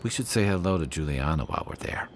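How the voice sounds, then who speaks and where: An actor playing a calm, wry peacemaking male companion in a video game. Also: a bass voice, quick pace, a little hiss or hum. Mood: easygoing.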